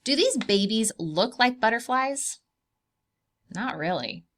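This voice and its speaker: A woman's voice: a little high, lively intonation, takes it slowly, a bit bright. A woman preschool teacher reading aloud to young children, explaining things simply, asking questions and drawing out key words.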